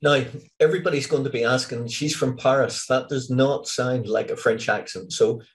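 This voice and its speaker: A man hosts an author interview in a friendly, upbeat way. His voice sits at an ordinary middle pitch. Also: normal speed. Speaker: interview host. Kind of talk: casual interview.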